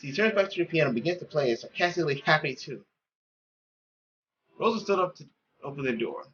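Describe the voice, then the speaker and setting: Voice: mid-range voice, lively intonation, a little fast, a bit bright, highs cut off, slight background noise. A playful, theatrical narrator reading a story aloud, stumbling now and then and dropping in joking asides. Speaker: let's play narrator reading a visual novel.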